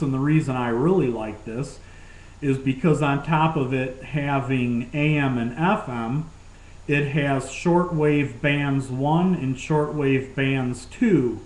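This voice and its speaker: A man presents gear in an informal demonstration, speaking slowly in a slightly high-pitched voice. He sounds keen to recommend what he shows. The recording has a clear roomy echo. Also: a little mellow.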